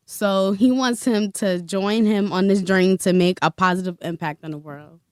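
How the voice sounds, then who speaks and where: A woman's voice: medium pitch, steady tone, normal speed, dry recording. Woman co-host, dry close sound. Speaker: podcast co-host. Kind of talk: casual podcast chat, reading an introduction.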